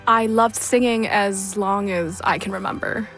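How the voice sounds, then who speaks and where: Woman singer, english, excited and fond, soft music under her. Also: medium pitch, unhurried. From South Korea. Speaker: opera competition finalist. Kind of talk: recorded radio interview clip.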